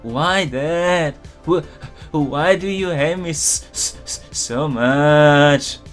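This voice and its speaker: A man acts out a young male lion cub in a comic reading of a story. The cub is timid and plaintive, pitched somewhat up as he pleads. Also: slow, fairly bright tone, soft background music. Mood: pleading.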